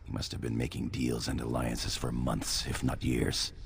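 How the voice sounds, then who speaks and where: An actor playing a loyal, sombre dwarf knight in a fantasy game, grave and formal, with a gravelly voice. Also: male voice, monotone, moderate pace. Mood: grave concern. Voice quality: gravelly, very deep. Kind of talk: fantasy video game acting. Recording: game dialogue over noisy ambience.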